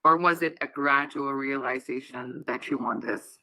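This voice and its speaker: Bright, dry-voiced young woman moderator. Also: rumbling low, no echo, low-quality recording. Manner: earnest, slightly halting questions. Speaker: podcast moderator, medical student. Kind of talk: scripted intro and interview questions.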